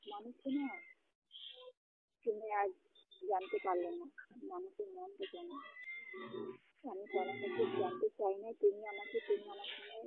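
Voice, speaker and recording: A young woman arguing on a phone call, indignant and accusing, her voice often jumping high. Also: creaky, slight background noise. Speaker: caller in a phone argument. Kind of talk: heated phone argument. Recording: tinny phone call recording.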